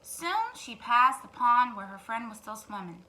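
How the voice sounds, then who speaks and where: A woman's voice: a little high, a little slow, rough recording. A woman teacher hosting storytime, reading aloud expressively to young children and adding asides to them. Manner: expressive, addresses young listeners directly. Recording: clear background noise.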